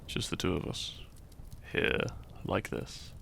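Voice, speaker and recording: A man playing a shy, tender young hero in love in a romantic audio roleplay, speaking gently and intimately. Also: slight background noise. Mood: weary, affectionate. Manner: slow, gentle, intimate, halting.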